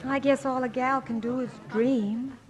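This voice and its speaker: A woman's voice: high-pitched, fairly even intonation, measured pace. A woman playing a dreamy young working-class daughter in a 1950s radio musical. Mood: wistful. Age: young adult. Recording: old radio broadcast with loud music.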